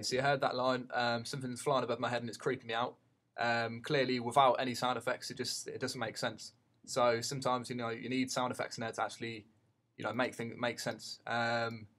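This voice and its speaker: A man giving an unscripted tutorial as a teacher, haltingly thinking aloud as he goes. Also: medium pitch, a bit bright.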